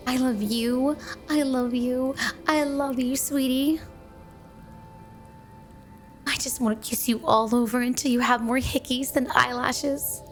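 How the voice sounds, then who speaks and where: A woman's voice: high-pitched, fairly even intonation, measured pace, pauses often, soft background music. A woman playing an obsessively devoted snow woman wife in a flirty ASMR roleplay, gushing and cooing with a sweet but possessive manner. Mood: adoring, flirty. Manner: gushing, cooing, doting, dreamy.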